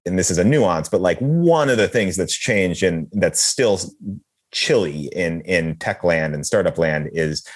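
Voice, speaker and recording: A man with a very deep voice answers as a journalist guest. His explanations come in halting bursts, full of fillers and restarts. He talks at length in a casual way. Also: lively intonation. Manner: hesitant, many fillers and restarts, chuckling.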